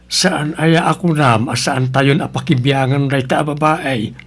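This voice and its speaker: A man's voice: medium pitch, fairly even intonation, measured pace, natural voice. An actor playing a down-to-earth, scolding husband and father in a radio drama, with an Ilocano accent. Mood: chiding.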